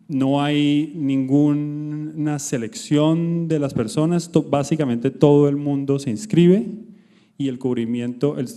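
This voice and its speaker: A man's voice: medium pitch, mellow. A man introducing a guest speaker at a conference, hesitant and full of restarts. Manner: hesitant, many filler sounds and restarts.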